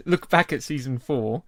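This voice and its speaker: A man co-hosting a beer podcast, talking in a relaxed, casual way and cursing freely. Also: fairly high pitch, slight background noise. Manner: relaxed, laid-back, swears casually. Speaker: podcast co-host. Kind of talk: casual chat.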